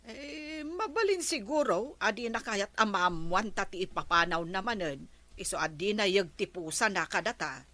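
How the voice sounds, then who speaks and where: An actress with an Ilocano accent playing a practical, disapproving village wife in a radio comedy drama. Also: female voice, medium pitch, expressive, normal speed, pushed and bright. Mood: scolding.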